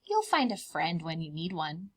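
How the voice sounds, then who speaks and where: A woman voicing a gentle, reassuring mother polar bear in a storybook, patient and soothing. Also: fairly low pitch, wildly swooping pitch, moderate pace, voice pushed down. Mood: gentle reassurance.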